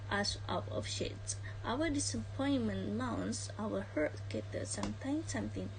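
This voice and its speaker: A young adult woman, a student giving a scripted review with careful, halting reading. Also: on the high side, creaky, a little hiss or hum, poor audio. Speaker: student presenting a movie review. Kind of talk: scripted narration.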